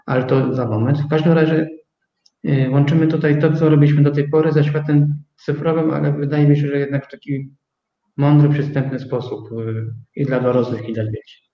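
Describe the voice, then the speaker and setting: Male interview guest, mid-pitched, halting explainer, roomy and slightly muffled recording. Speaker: guest, start-up co-owner. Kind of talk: interview answer about own business. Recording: roomy, slightly dull sound.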